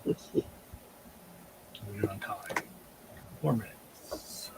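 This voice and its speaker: A man's voice: drawn-out words, poor audio. A man chatting casually as a podcast host keeping the group on track. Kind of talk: casual chat. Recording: faint background noise.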